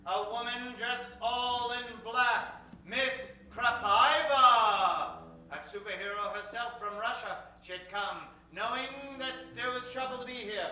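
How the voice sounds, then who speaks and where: A man's voice: high voice, bright, forceful tone. A man narrating an improvised old-time radio serial as announcer, with dramatic cliffhanger delivery. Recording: very echoey stage, distant mic, faint noise.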